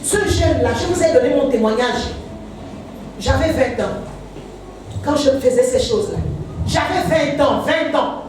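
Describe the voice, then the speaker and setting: Fervent high-voiced woman pastor, echoey church. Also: expressive, unhurried, pauses often, background noise. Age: 50s. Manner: fervent, frequent hallelujahs, sing-song rises. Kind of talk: preaching a sermon. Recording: echoey church hall, voice from far off.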